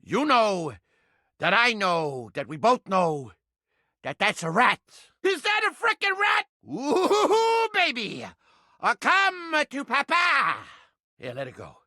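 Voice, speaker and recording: A man's voice: very high voice, pushed and bright, no room sound, clean studio sound, full character voice. An actor playing a cranky, manic living mousetrap in a video game, with blustering, streetwise wise-guy talk. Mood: tense, suspicious, then gleeful, manic. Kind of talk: animated video game character acting.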